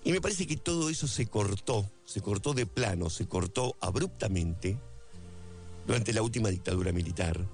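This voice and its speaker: A man with an Argentine Spanish accent speaks in a fairly low voice, reflective and unhurried in his reasoning. He circles back on key phrases to stress them, like a spoken essay. Faint music plays quietly beneath him. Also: expressive. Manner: reflective, repeats phrases for emphasis.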